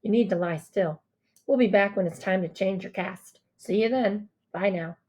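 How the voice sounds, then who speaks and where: A reassuring ambulance man, read by a woman in a storybook, slightly husky. He is friendly and upbeat, giving clear instructions. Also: medium pitch, normal speed, a little mellow, close to an everyday voice. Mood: firm, reassuring.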